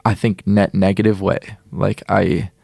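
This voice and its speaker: A man's voice: deep voice, level tone, measured pace, gravelly, mellow, husky, no echo, clean studio sound. A young man from Illinois, a musician being interviewed, talking casually and earnestly with lots of "like" and restarts. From Illinois, USA. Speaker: musician guest.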